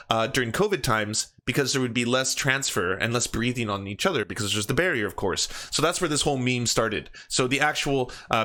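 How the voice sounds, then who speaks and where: A Canadian man hosts a comedy show with a bright, forward voice. He talks loosely and mockingly, riffing sarcastically on a story. His pitch sits right in the middle for a man. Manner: mocking, puts on silly voices when quoting. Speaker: comedy podcast host. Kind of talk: comedic political commentary, reading aloud.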